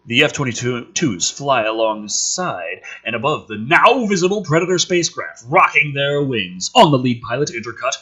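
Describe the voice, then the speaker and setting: A husky-voiced man reading a script aloud dramatically, with exasperated, sweary commentary as host. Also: mid-range voice, very animated, normal speed, hardly any pauses, bright, forceful tone. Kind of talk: animated script read-aloud with profane commentary.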